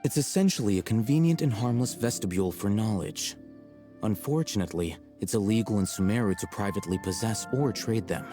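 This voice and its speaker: An actor playing an aloof, blunt young scholar in a video game, cool, articulate and condescending. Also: male voice, lowish voice, animated, brisk, warm tone, close to an everyday voice. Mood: measured, explanatory. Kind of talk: English video game voice acting. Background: faint game background music.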